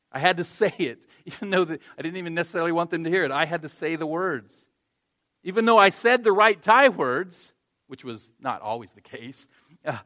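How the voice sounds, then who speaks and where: A man's voice: high voice, sharp tone. A pastor giving a conversational sermon, telling a personal story and then urging his congregation with earnest emphasis. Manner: conversational with self-deprecating laughs, rising to earnest emphasis.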